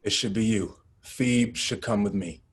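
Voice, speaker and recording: A man playing a pragmatic drama leader with a low, husky voice. He is measured and matter-of-fact, used to giving orders. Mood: firm. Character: pragmatic, commanding male activist leader Gus, planning a bluff. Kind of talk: screenplay table read acting.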